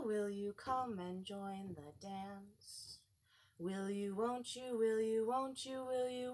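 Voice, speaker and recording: A woman's voice: sits high, pitched above the performer's own voice. A woman voicing a mournful, sentimental turtle-like creature in a dramatic, lamenting storybook manner.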